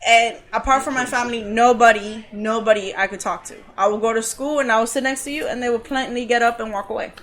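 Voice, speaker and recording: A woman from Cuba tells a personal story as a podcast guest. Her voice sits a little high, and she talks earnestly and casually, filling pauses with uhs. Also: fairly even intonation, a bit bright. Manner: earnest, talks things through with many uhs.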